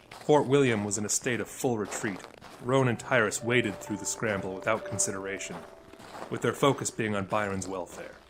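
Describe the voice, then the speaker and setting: A man narrating a scripted dramatic story as the narrator. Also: medium pitch. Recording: music under the voice.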